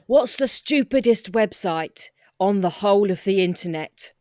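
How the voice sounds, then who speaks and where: A woman plays in an improvised comedy. Her voice has an average pitch and is recorded close and dry. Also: expressive, a little slow, a little mellow, no room sound.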